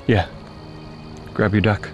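An actor playing a seasoned, dryly wry hunter in an audio drama, terse and matter-of-fact. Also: male voice, fairly low pitch, level tone, takes it slowly, dull audio, faint music behind.

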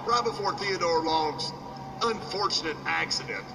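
A man's voice: fairly high pitch, animated, moderate pace, background noise. An actor playing a smug, mocking male cowboy businessman in a video game cutscene. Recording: distant echoey game audio.

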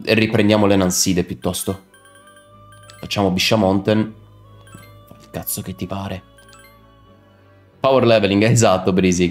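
Low-voiced young man streaming over game music. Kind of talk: casual live game commentary, chatting with viewers. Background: video game music.

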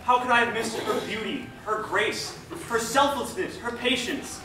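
A man's voice: extremely high pitch, normal speed. A young man acting an earnest, melodramatic lover in a stage comedy, theatrical in delivery. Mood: lovestruck, earnest. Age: young adult. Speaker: student stage actor. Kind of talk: theatrical romantic monologue. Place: large theatre, indoors. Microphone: far camera. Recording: echoey theatre from far off, noisy.

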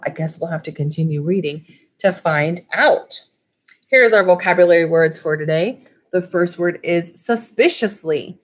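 A woman in her thirties, a school library teacher, reading a storybook aloud and talking informally to children. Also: low voice. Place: small indoor room at home with wood-panelled walls. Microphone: webcam or laptop mic at arm's length.

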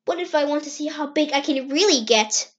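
A woman's voice: sits high, fairly quick, close to an everyday voice. A woman voicing an eager, playful little boy fox in an amateur comic dub. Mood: excited.

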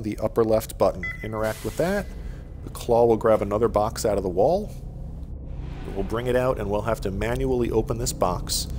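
A man's voice: medium pitch, a little mellow. A man narrating a game walkthrough with methodical, matter-of-fact step-by-step instructions. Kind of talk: instructional gameplay commentary. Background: music and game sound.

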